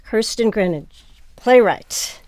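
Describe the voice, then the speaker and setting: Smooth, clear woman host. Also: medium pitch, animated, slow pace, darkish tone, no room sound. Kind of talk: scripted credits and interview introduction.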